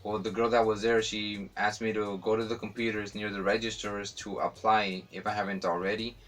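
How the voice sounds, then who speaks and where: A young man with a slight Spanish accent in English, casually telling a story to camera with relaxed fillers. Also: on the low side, brisk. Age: young adult. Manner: relaxed, chatty, frequent fillers. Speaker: vlogger.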